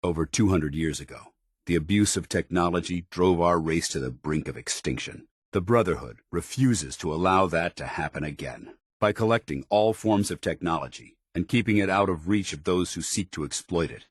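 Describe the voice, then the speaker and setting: An actor playing a stern, disciplined middle-aged soldier in power armor, speaking formal, clipped military lines for a video game. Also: male voice, low voice, normal speed, slightly rough, no room sound, clean studio sound. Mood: solemn. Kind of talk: scripted video game dialogue.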